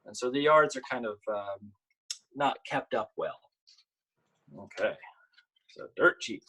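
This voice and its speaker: A man who works as a children's author, talking casually and explaining in a halting, conversational way during a storytime. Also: mid-range voice, sharp tone, rough recording. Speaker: picture book author and illustrator. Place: at home, online video event.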